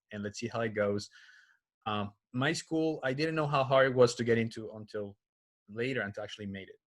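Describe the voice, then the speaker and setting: A man's voice: medium pitch, fairly bright tone. A man from Colombia with a Colombian accent and Spanish as his first language, a doctor telling personal stories in a casual, conversational interview style. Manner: conversational, informal. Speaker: oncologist being interviewed.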